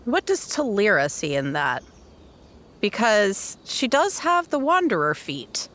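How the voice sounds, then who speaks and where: Mid-pitched woman playing a tabletop game. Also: huge pitch swings, a little slow, fairly bright tone. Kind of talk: describing her character's actions.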